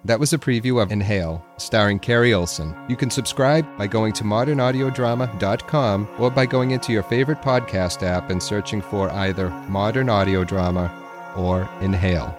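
Low-voiced man announcing over music. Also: moderate pace. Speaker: trailer announcer. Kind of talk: scripted podcast promo.

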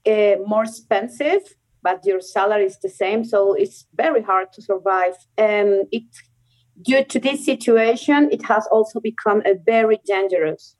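A woman's voice: medium pitch, moderate pace, a little mellow, low-quality recording. Venezuelan woman chatting with laughs. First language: Spanish. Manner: laughs often, restarts sentences in careful non-native English. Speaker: guest, rock climber. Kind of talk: casual interview chat.

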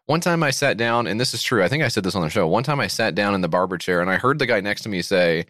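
An American man co-hosting a comedy podcast, sarcastic and jokey, reading an ad in mock-excited bursts. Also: on the low side, fast, no room sound, clean studio sound. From United States. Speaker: podcast co-host. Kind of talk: comedic podcast banter and read-out ad.

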